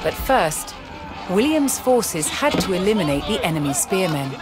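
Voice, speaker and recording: Dramatic history narrator over loud music. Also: medium pitch, very animated, a loud crowd. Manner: measured, dramatic storytelling. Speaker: documentary narrator. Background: loud background music.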